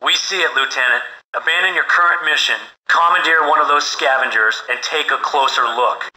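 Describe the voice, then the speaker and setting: An actor playing an authoritative military commander, serious and clipped, giving briefings over radio in a video game. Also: male voice, a little high, fairly quick, natural voice. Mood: stern. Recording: noisy, echoing military radio transmission.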